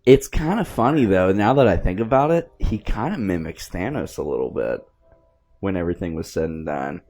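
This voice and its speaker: A man chatting casually and excitably as a fan, hosting a movie commentary with plenty of slang. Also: low voice, brisk. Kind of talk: casual film reaction chat.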